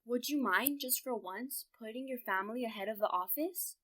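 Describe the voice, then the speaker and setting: A bitter mother, played by an actress, speaks in a fairly high voice. She is blunt and sharp-tongued, scolding and bickering in the manner of a radio drama. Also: moderate pace, no room sound. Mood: scolding, irritated.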